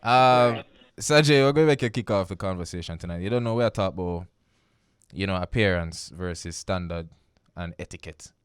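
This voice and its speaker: A man's voice: deep voice, a little fast, clean tone, no room sound, studio quality. A man with a Jamaican accent hosting a casual radio talk show, who keeps repeating himself and asking "you understand me?".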